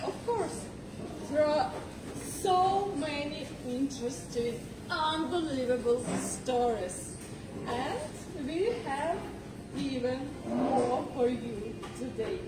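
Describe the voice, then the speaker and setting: A woman hosting a contest theatrically, announcing playfully in short, drawn-out phrases. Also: high-pitched, lively intonation, heavy background noise. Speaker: host of a speech contest. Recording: distant roomy pickup under loud music. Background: loud music.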